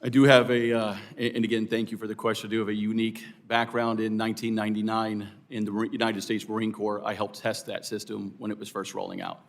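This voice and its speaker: A man's voice: lowish voice, level tone, fast talker, vocal fry, few pauses. A man who was an air traffic controller, giving polite, formal testimony at a hearing. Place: hearing room.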